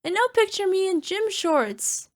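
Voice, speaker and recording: A woman's voice: very high voice, expressive, measured pace. A woman playing an insecure, self-conscious cyclops monster girlfriend in an ASMR roleplay, talking in a dramatic, playfully exasperated way. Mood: sheepish. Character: insecure chubby flying purple people eater girlfriend, a cyclops monster. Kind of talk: ASMR roleplay acting, conversational.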